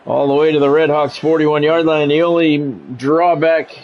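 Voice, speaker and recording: An American man doing sports play-by-play for a video game stream, mixing game calls with relaxed, casual chat to viewers. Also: fairly high pitch, moderate pace. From United States. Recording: dull lo-fi audio with faint noise.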